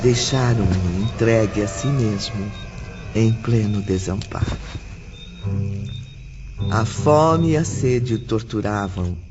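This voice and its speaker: Male audiobook narrator over loud music. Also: fairly low pitch, slow, pauses often, dull audio. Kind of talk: dramatic scripted audiobook narration.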